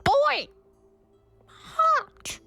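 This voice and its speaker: Squeaky, excitable radio-drama monster, very high and sharp, broken one-word bursts. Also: female voice, very slow, gravelly, faint music behind, heavily acted. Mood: excited, insistent.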